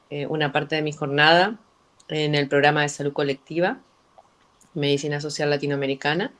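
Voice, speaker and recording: A woman from Argentina, introducing herself as a professor on a video call with relaxed fillers. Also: low voice. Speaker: public health professor.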